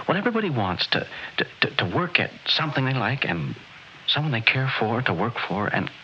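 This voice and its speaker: An actor playing a young, folksy, excitable honeymooning husband in a halting, colloquial way, in 1960s radio drama style. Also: male voice, lowish voice. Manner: halting, repeats words, colloquial. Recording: dull, noisy old radio recording.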